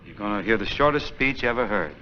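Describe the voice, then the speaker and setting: An actor playing an easygoing, wry parish priest in a 1940s film, talking in a relaxed, joking way. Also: male voice, on the low side, fast, a little hiss or hum. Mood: playful. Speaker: priest, new pastor. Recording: dull lo-fi 1940s film soundtrack.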